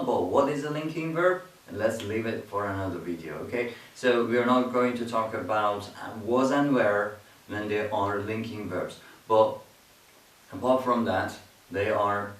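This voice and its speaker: A patient man teaches a grammar lesson in a lowish voice, going over each point again and checking in with his listeners as he goes. He sounds set back from the mic in a roomy space. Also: recorded from a distance. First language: Persian. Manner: patient, repeats himself, often checks with "okay?". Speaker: English teacher.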